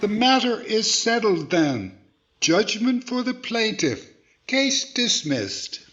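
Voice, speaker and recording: A man playing a stern, measured judge in 1940s radio comedy style. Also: sits high, sing-song, fairly bright tone, a little hiss or hum, full character voice. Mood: decisive. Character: stern, measured male judge, easily flattered. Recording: dull band-limited sound.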